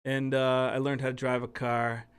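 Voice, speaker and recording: Middle-aged boston guy rambling thru stories, lots of you know, smooth clear voice. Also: mid-range voice, completely flat delivery, normal speed. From Massachusetts. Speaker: podcast guest, musician. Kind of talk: casual storytelling chat.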